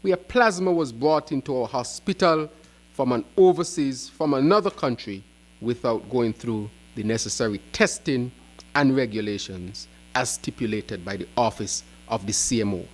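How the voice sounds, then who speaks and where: A male political spokesman delivers a prepared statement. He speaks at a middling pitch, breaking sentences into short, emphatic phrases with deliberate pauses. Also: animated. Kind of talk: prepared press conference speech.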